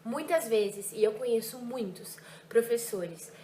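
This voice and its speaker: Lively, emphatic young woman teacher. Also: a little high, a little slow. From Brazil, Ribeirão Preto. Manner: lively, emphatic, drops French words into Portuguese. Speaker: French teacher. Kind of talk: personal reflection vlog. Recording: echoey room with faint hiss.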